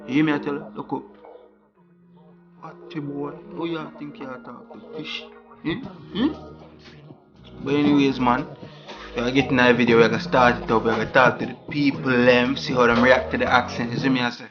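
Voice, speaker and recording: A young man putting on a Jamaican accent as a boastful, playful young man, speaking loud, swaggering patois. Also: medium pitch, flat delivery, brisk, dull audio, over music, natural voice. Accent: put-on Jamaican. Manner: loud, swaggering patois, keeps asking "you hear me".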